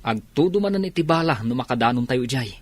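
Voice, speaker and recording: An actor with a Filipino accent playing a devout, steady soldier comrade in a radio drama. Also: male voice, medium pitch, a little fast, lightly acted. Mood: steadfast, earnest.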